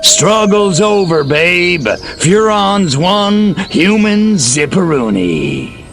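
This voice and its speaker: A man's voice: high-pitched, big pitch swings, very slow, a bit bright, faint music behind, full character voice. A man playing a smug, wisecracking little alien invader in a video game cutscene, talking in sarcastic slang. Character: cocky small gray male alien invader, wisecracking and destructive.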